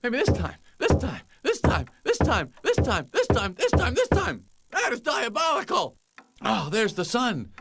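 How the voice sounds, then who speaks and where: An actor playing a young male honeybee in an animated comedy game, neurotic and wry, often exclaiming to himself. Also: very high voice, measured pace, vocal fry, slight background noise, exaggerated voice. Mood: frantic.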